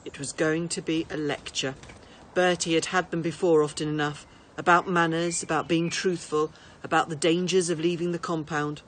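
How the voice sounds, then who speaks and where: A woman reading a children's storybook aloud in an expressive narrating style. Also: sits low, normal speed, a little hiss or hum. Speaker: teacher reading to pupils.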